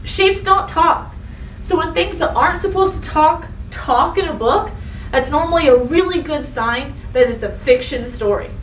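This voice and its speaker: A woman with a high voice speaks as a teacher to young children. She is expressive and instructive, addressing listeners directly. The recording sounds roomy and distant, with faint noise. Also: moderate pace. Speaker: elementary school teacher. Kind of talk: read-aloud storytelling and lesson for children.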